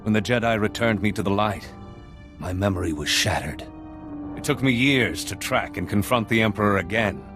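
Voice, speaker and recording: An actor playing a solemn, zealous and weary fallen Jedi in a video game, speaking gravely and deliberately. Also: male voice, fairly low pitch, natural voice. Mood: bitter, resolute. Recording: loud music under the voice.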